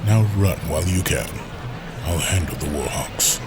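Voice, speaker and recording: A man playing a composed admiral who speaks calmly and with authority. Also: very deep voice, moderate pace. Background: music.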